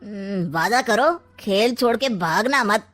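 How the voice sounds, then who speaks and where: A woman's voice: fairly high pitch, big pitch swings, takes it slowly, fairly bright tone, full character voice. A woman voicing a sly, sarcastic, scheming older uncle in a comic family story, with a theatrical drawl. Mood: gloating. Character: scheming, sarcastic maternal uncle, middle-aged or older man.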